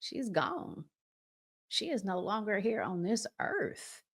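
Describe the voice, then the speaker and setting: A woman's voice: mid-range voice, sing-song, slow pace. A middle-aged woman giving conversational relationship advice as a vlog host, emphatic, repeating phrases and asking rhetorical questions.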